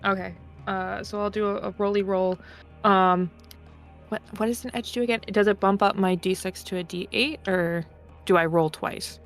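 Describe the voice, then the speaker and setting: A woman's voice: mid-range voice, steady tone, normal speed. A woman playing a tabletop roleplaying game, talking casually and playfully as one of the players. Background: music under the voice.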